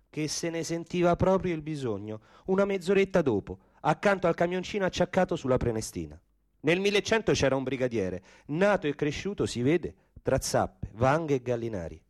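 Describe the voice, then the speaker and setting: Streetwise Roman storyteller with sweeping intonation, played by a man. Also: a little high. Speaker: stage actor-narrator in a radio theatre piece. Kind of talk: theatrical literary storytelling.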